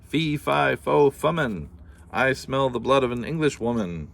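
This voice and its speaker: A man voicing a huge, bellowing, man-eating male giant with a booming, gloating chant. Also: lively intonation, a little slow, gravelly. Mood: menacing.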